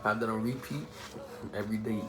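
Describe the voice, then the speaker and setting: A man's voice: fairly low pitch, steady tone, a little fast, rough recording. Laid-back young man over music. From Bahamas. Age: young adult. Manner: laid-back, joking, streetwise slang. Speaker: vlogger answering viewer questions. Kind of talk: casual slangy Q&A chat.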